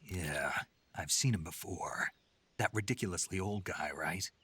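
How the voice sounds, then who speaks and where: A gruff game soldier, super gravelly deep voice, short clipped replies. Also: male voice, unhurried, a bit bright, heavily acted. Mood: terse, guarded. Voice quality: gravelly, husky. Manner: terse, dry. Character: gruff, terse male soldier on covert mission. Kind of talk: video game radio-call dialogue acting.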